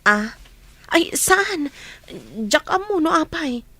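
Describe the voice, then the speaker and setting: A woman's voice: extremely high pitch, sing-song, takes it slowly, sharp tone, heavily acted. An actress playing a kind, discreet young woman in a radio drama, earnest and solicitous. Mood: evasive.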